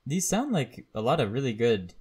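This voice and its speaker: A man's voice: medium pitch, very animated, normal speed, darkish tone, breathy edge. A man hosting a podcast interview in an informal, agreeable way with slightly hesitant phrasing. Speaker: podcast host. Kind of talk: casual interview.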